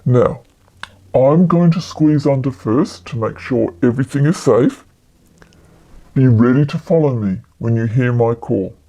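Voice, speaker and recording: A woman voicing a calm, commanding male magician in a storybook, speaking in a deliberate, reassuring way. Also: a bass voice, pitched below the performer's own voice, full character voice. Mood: calm, instructing.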